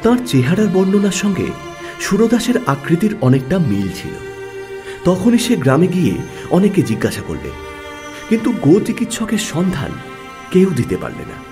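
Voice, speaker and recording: A man narrating a classic literary audio story in a dramatic, scripted style with measured phrasing and pauses. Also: sits high, wildly swooping pitch, music in the background.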